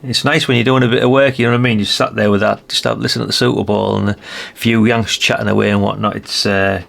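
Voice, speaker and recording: Low-pitched, creaky British man, chatting casually. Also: flat delivery, a bit bright. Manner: musing, unhurried, frequent tag questions and self-corrections. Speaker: radio hobbyist presenting a video. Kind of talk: casual rambling vlog chat.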